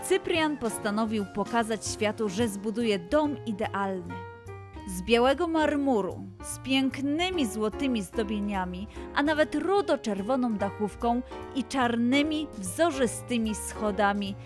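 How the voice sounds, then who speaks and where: A woman narrating a scripted children's story in an expressive, dramatic way. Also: on the high side, unhurried. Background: music.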